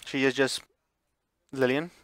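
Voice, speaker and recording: A man's voice: medium pitch, level tone, measured pace, fairly bright tone, dry recording, clean studio sound. A man streaming a visual novel, chatting casually as he plays and reading the text aloud, sometimes stumbling and correcting himself.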